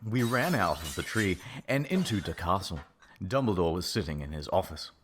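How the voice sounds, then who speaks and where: A man's voice: deep voice, very animated, moderate pace. A man reading a fan fiction story aloud in a playful, dramatic narrating style. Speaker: reaction video host.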